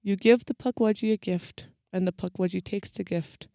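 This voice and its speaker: High, clear-voiced male podcast co-host. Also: moderate pace, dark tone, no echo. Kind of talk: casual chat.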